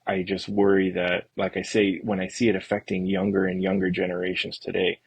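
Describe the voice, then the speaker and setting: A man's voice: low voice, monotone, a little fast, creaky, husky, no room sound. A man in his early forties talking earnestly and reflectively in a casual conversation, as a guest. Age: early 40s. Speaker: podcast guest, poker player.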